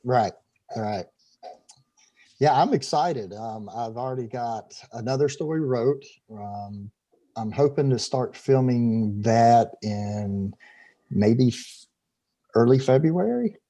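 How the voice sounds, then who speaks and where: A man's voice: medium pitch, expressive, slow pace, warm tone. Rambling man on a video call. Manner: talkative, stumbles and repeats words, laughs easily. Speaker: interview guest, filmmaker. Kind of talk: casual video call interview. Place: home room.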